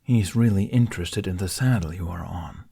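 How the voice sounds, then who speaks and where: A man's voice: sits low, normal speed, gravelly, dark tone, husky, full character voice. A man playing a grumpy, obstinate dragon in an audiobook, curt and scornful in manner. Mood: grudging. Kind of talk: audiobook character voice.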